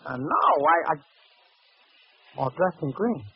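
Nervous dim henchman in 1940s radio show, high voice, stammering slang, muffled old recording. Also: expressive, unhurried, slightly rough, full character voice. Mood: uneasy. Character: nervous, dim gangster henchman, easily frightened.

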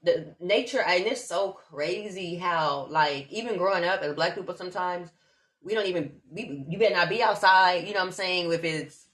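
Lady from omaha, low voice, animated slangy chat. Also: moderate pace. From Omaha, Nebraska. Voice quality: animated, slangy, spiritual talk with bursts of laughter. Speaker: laughter yoga teacher. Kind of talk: casual chat.

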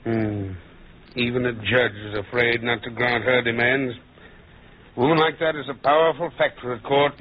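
An actor in an old-time radio drama playing a shrewd, cautious older judge with a dry, probing manner and husky voice, on a tinny recording. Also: male voice, medium pitch, steady tone, moderate pace, slight background noise, natural voice. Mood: wary.

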